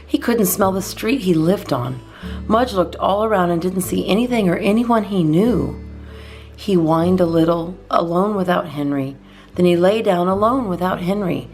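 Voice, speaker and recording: A woman reading a children's storybook aloud as a storytime host, expressively and in measured phrases with deliberate pauses. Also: on the low side, darkish tone, faint music behind.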